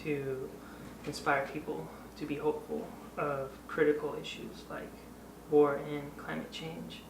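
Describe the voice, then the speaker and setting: Man with widely swinging pitch, echoey room. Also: flat delivery, unhurried, vocal fry. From Oregon and Costa Rica. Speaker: artist and educator. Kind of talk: personal introduction, reflective talk. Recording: echoey, noisy room, distant mic.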